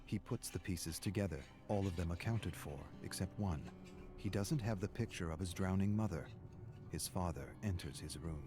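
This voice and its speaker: A man as a deep, grim game storyteller. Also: moderate pace, over music, natural voice. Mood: somber. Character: grim male storyteller of a dark family tale. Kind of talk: dramatic scripted third-person narration.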